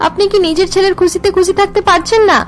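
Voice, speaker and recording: A woman's voice: very high voice, steady tone, fast talker, faint music behind. A woman voicing a wronged young daughter-in-law in a melodramatic cartoon, earnest, wounded and reproachful. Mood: hurt, reproachful.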